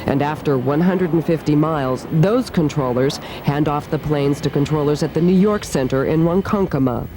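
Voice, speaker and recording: Measured woman news reporter. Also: low voice, background noise. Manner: measured, pointed delivery. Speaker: investigative TV reporter. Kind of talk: scripted news narration.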